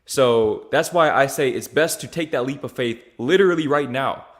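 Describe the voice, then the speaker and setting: A man's voice: medium pitch, normal speed. Laid-back young man, casual vlog talk. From Louisiana, raised in Texas. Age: young adult. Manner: laid-back, slangy, repeats phrases with "bro" and "man". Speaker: YouTuber answering viewer questions.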